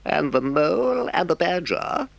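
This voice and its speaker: A man voicing a conceited, excitable toad gentleman in an audiobook, pompous and plummy, drawing out his exclamations. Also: on the high side, a little slow, creaky, no echo, highs cut off, voice pushed up, heavily acted. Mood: feigned concern. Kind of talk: audiobook character voice.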